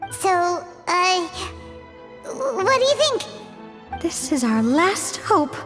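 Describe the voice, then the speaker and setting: Tiny cheeky fairy sidekick, super high n whiny, swoopy, music behind. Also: female voice, very animated, extremely slow, heavily acted. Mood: anxious hope. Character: small excitable floating fairy companion, childish and cheeky. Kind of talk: video game voice acting.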